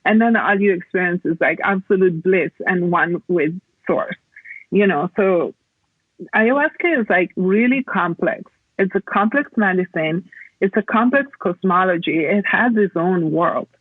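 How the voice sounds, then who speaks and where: A woman from Italy, explaining reflectively as a podcast guest in a halting, conversational way over a remote call. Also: fairly low pitch, breathy edge, dry recording, phone-quality sound. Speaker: podcast guest, plant medicine practitioner.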